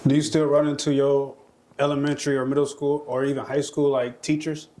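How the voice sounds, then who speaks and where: A man's voice: mid-range voice, flat delivery, fairly quick, mellow. A man hosting a podcast, introducing a guest and asking questions in loose, slangy casual chat. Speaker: podcast host.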